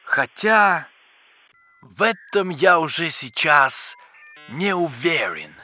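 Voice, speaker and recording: An actor playing an excitable, pedantic scientist in a children's educational audio drama, with theatrical, exclamatory delivery. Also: male voice, on the high side, slow, soft background music, heavily acted. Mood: eager, pedantic. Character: excitable, bookish male scientist, absorbed in microbes. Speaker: scientist character.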